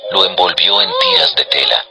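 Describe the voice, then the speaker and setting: A man narrating scripture as a solemn, measured storyteller for a dramatized audio production. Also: low voice, vocal fry, music in the background.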